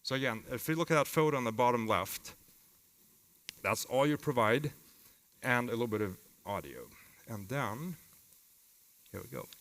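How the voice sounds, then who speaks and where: Bright-voiced Swedish-accented man presenting in a reverberant hall. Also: medium pitch, lively intonation, moderate pace, long pauses. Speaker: company founder giving a conference presentation. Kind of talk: live conference talk with demos. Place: conference hall with long reverb.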